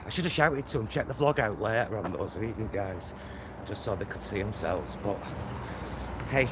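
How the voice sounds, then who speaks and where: A man's voice: fairly low pitch, expressive, normal speed. A man with a Greater Manchester accent chatting casually in a rambling, self-interrupting way, as a vlogger out on a walk. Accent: Northern English, Greater Manchester. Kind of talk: casual walking vlog chat. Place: outdoors, walking by roads and motorway. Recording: outdoor recording with loud traffic noise. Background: loud traffic and outdoor ambience.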